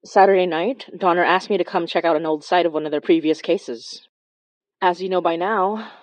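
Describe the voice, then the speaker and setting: A woman narrates a horror fiction podcast with chatty, sarcastic asides. Her voice rises and falls a great deal, though it sits on the lower side. The sound is dry and a bit muffled. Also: darkish tone, dry recording. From Canada. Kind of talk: scripted audio drama narration.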